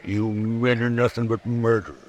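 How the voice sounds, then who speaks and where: Male actor as bitter cowboy captive, American, middle pitch, plain-spoken, defiant, old radio western. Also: little pitch movement, normal speed, a little hiss or hum, natural voice. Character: defiant, bitter accused horse thief, Old West. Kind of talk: 1950s radio western acting.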